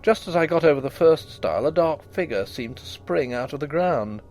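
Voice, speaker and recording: A man's voice: fairly high pitch, expressive, normal speed, a little mellow. A man reading a gothic ghost story aloud with dramatic, suspenseful delivery.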